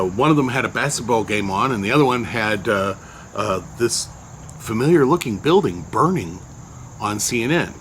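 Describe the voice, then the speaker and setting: An older man with a loud voice casually recounting a memory, unhurried and rambling with many fillers. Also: on the low side, slight background noise. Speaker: storyteller recounting a personal memory. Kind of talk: casual spoken recollection.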